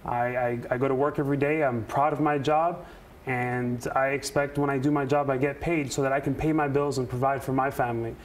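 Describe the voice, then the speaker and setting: A man speaking as a union representative in a news interview, earnest and emphatic, with deliberate phrasing. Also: mid-range voice, steady tone, a little hiss or hum. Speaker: air traffic controller and union representative, interview guest. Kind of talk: live news interview answers.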